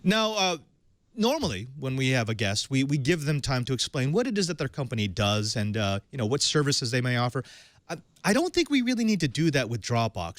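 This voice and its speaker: A man hosting a tech podcast, reading a sponsor spot and then welcoming and questioning a guest in a lively way. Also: mid-range voice, no room sound. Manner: animated, leading questions with fillers. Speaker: podcast host. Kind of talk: tech show hosting and ad read.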